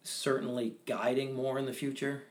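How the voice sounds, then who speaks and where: A man's voice: mid-range voice, flat delivery, moderate pace. A young man from Maine, a fly tyer, chatting as a guest in a casual interview with a humble, talkative manner and frequent restarts.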